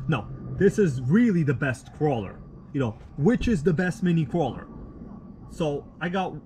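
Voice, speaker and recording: A man's voice: mid-range voice, darkish tone, slight background noise, rough recording. Laid-back slangy man from Russia. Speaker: live stream host. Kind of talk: casual live stream chat, reading viewer comments. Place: outdoors at night.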